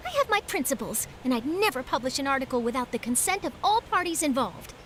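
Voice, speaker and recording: A spirited young female reporter, very high-pitched and animated. The delivery is breezy, earnest anime game acting over clear background noise. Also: quick pace.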